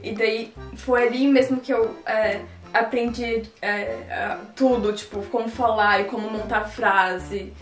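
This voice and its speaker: A teenage girl from Brazil with a Brazilian Portuguese accent, chatting in a relaxed, hesitant way as a guest. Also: fairly high pitch, unhurried, soft background music. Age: teens. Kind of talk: casual chat as a guest. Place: living room. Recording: echoey room, off mic.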